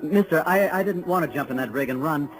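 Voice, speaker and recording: An actor playing an earnest, politely hesitant gentleman from New York in a 1950s radio western. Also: a little high, brisk, music in the background, natural voice, rough recording. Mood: earnest, smitten.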